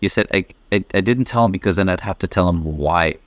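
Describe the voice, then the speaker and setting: A man's voice: sits low, fast, no room sound. A man hosting a podcast, asking earnest, encouraging interview questions with frequent affirmations. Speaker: podcast host.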